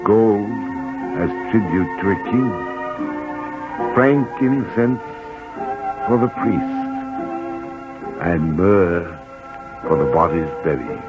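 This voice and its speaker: An actor playing a reverent wise king from the east, stately and declamatory in 1940s radio drama style. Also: on the low side. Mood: solemn. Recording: muffled 1940s radio with music.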